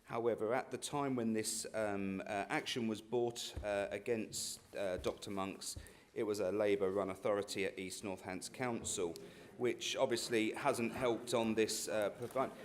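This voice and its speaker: Low-voiced councillor, halting and measured. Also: male voice. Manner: halting, measured, many fillers. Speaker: councillor answering questions. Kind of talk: council meeting reply.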